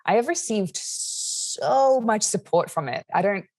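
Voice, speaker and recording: A woman's voice: medium pitch, animated, measured pace, a little mellow, no room sound. An Australian woman guest chatting over a video call, bubbly and laughing as she talks. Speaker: podcast guest, YouTuber.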